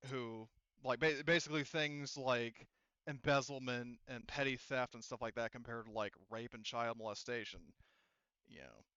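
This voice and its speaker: A man narrating a tabletop roleplaying game as game master in a casual, halting, conversational way. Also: mid-range voice, steady tone, sharp tone, clean tone, no room sound, dull audio. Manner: halting, conversational explaining with quoted character lines.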